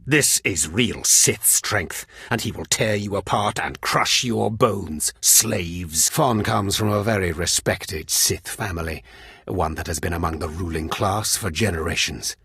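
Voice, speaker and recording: An actor playing a cruel, contemptuous Sith overseer in a video game, sneering and commanding with a husky, menacing voice. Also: male voice, low voice, unhurried, sharp tone, no echo, clean studio sound, exaggerated voice. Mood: haughty, contemptuous. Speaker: villainous trial master in a video game. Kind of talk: scripted game voice acting.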